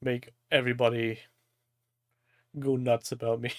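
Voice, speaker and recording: A man's voice: medium pitch, flat delivery, unhurried, dry recording, clean studio sound. A man from Germany with a German accent, a native German speaker, hosting a casual live-stream chat in a jokey, teasing manner. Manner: jokey, crude humour, teasing.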